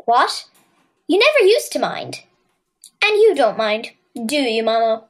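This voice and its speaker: High-voiced Irish girl. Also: sing-song, unhurried. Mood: earnest. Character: Gráinne, earnest, warm-hearted young girl. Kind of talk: audio drama for children.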